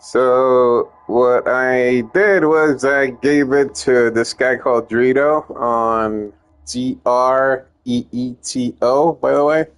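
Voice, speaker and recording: Slow, rambling man from Puerto Rico. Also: medium pitch, clean tone. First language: Spanish. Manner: rambling, self-interrupting, joking. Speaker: ROM hack creator giving updates. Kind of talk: casual rambling vlog update.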